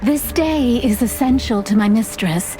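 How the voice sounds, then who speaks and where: High-voiced, guarded young fawn secretary, played by a woman. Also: measured pace, background noise, close to an everyday voice. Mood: defensive, polite. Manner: polite, reserved, hesitant yet composed. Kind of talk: film-noir video game acting.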